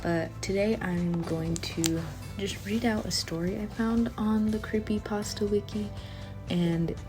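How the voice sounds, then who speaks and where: A woman's voice: lowish voice, warm tone. A woman getting over a cough, reading a horror story aloud at home in a casual, chatty way.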